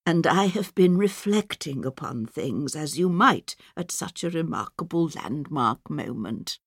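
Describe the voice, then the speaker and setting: A man's voice: a little high, animated, unhurried, fairly bright tone, husky, dry recording, studio quality, voice pushed up, exaggerated voice. A man voicing a sweet, muddled 99-year-old headmistress in a sing-song, rambling manner for a storybook reading. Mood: doddery, stately. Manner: rambling, forgetful. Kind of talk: storybook character voice.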